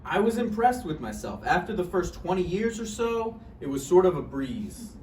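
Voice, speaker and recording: A man's voice: high-pitched, very animated, moderate pace, a little mellow. A man playing a wry, self-deprecating hundred-and-three-year-old on a deathbed in a play table read. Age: older. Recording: echoey room, distant mic, faint noise.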